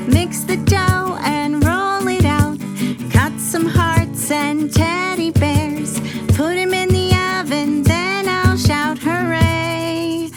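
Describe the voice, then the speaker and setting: A woman's voice: very high-pitched, pitched above the performer's own voice. A woman voicing an eager, earnest little girl in a children's storybook, with a wide-eyed, sing-song manner.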